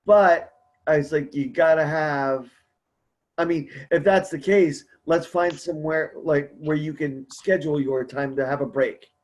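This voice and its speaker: A man's voice: fairly high pitch, measured pace, a little mellow, rough recording. A man speaking casually as host of a support group conference call, earnest, encouraging and a bit rambling.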